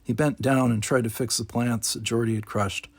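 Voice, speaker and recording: Husky man reading a novel aloud. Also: medium pitch, steady tone, moderate pace, dry recording, studio quality. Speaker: storybook reader. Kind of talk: scripted narration of a novel read aloud.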